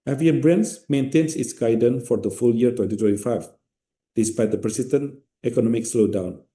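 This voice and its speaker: A man with an Indonesian accent giving a formal, measured company results presentation from a script. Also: mid-range voice, dark tone.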